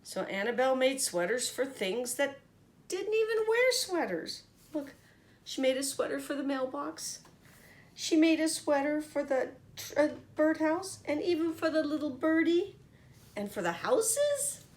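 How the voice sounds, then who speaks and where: A woman's voice: high voice, big pitch swings, unhurried. A woman reading a picture book aloud to children as a teacher, expressively and with little comments to her listeners. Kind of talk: animated picture-book storytelling.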